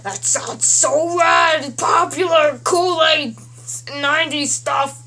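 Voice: high voice, background noise. Performer doing rad, drawling nineties retro game dude. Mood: amazed, stoked. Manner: drawn-out slacker slang. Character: laid-back nineties dude eight-bit video-game hero.

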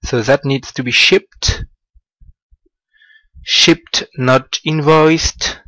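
A man giving a slow, halting walkthrough of business software over a screen recording, with small grammar slips. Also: medium pitch, steady tone, dry recording, rough recording. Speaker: software demonstrator.

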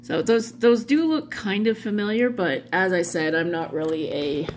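A woman's voice: mid-range voice, moderate pace, a little mellow. A middle-aged woman vlogging casually in a wry, amused way, wandering off into asides. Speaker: vlog host doing dramatic readings. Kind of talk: casual rambling commentary. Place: small room at home, indoors. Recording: clearly audible background hum noise.

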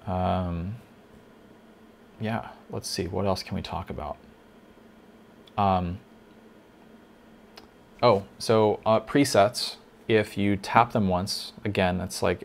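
A man teaching a lighting console in a casual tutorial, thinking aloud with fillers, a little hoarse. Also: deep voice, slow, long pauses, slight background noise. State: slightly hoarse, losing his voice. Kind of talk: casual screen-recorded tutorial.

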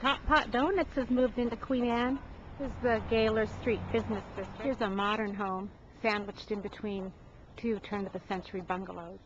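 A woman's voice: mid-range voice, steady tone, moderate pace. A woman narrating a casual walking tour of houses, admiring and chatty, with frequent ums and uhs. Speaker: real estate agent giving a home tour. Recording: dull lo-fi audio with clear background noise.

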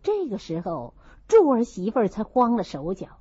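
A woman narrating a classic novel as an audiobook in an expressive storytelling style. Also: a little high, moderate pace, husky, no room sound. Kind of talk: expressive literary storytelling, character voices. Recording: dull lo-fi dry recording.

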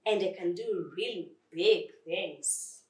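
A woman's voice: fairly low pitch, slow, darkish tone, reverberant, distant mic. A woman voicing a tiny, loyal flea who pleads and encourages in a storybook tale. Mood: encouraging. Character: tiny, earnest flea, loyal urging friend.

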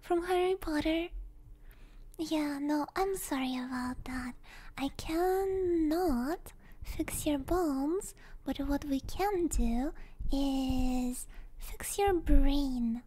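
Very high, soft-spoken woman doing ASMR. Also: very slow. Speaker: ASMR streamer, host. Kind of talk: ASMR livestream chat, drifting into whispers. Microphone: close binaural stereo microphone.

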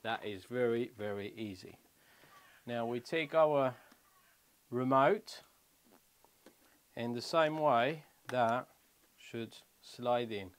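A man's voice: medium pitch, takes it slowly, pauses often. A man in his thirties giving a casual hands-on product walkthrough, explaining step by step in short phrases as a vlogger. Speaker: motorcycle vlogger. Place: small wooden shed or garage room.

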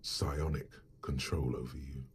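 An actor voicing a grave inner voice that narrates a detective's thoughts in a role-playing game, measured and literary. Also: male voice, rumbling low, fairly even intonation, soft background music. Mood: ominous, wry. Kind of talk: scripted second-person narration.

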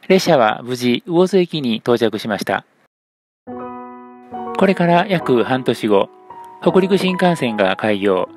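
A man's voice: mid-range voice, darkish tone. Guy reading a scripted video narration, mid voice, music playing behind him.

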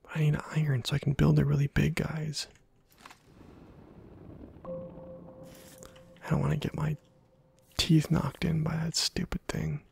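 Man, ASMR game host, hushed and very breathy, heavy vocal fry, playful muttering to himself, close mic. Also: on the high side, level tone, slow, long pauses, no room sound. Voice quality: hushed, breathy, husky half-whisper. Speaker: gaming video host. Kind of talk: ASMR gameplay commentary.

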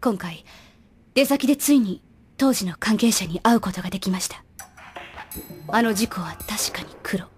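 A woman playing a serious, dutiful teenage girl agent in an anime audio drama, speaking earnestly, politely and gravely. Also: mid-range voice, animated, normal speed, pauses often, soft background music, natural voice.

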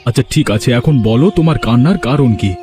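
Man acting a quick-talking, mellow village husband. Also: medium pitch, soft background music, close to an everyday voice. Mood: curious. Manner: chatty, coaxing, concerned. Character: village husband, a concerned fish buyer. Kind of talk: Bengali cartoon folk-tale acting.

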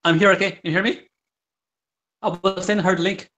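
A man in his thirties on a video call, excitable and quick to react, speaking into a phone held close. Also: high-pitched. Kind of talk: casual video call chat. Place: small tiled room, indoors. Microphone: phone held close to the face.

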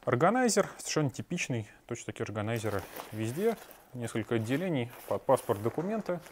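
A man's voice: mid-range voice, big pitch swings, fast, a little hiss or hum. A man in his thirties reviewing a backpack in a small shop room on a lapel mic, blunt and sarcastic with crude asides. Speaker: shop owner reviewing gear. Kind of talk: product review.

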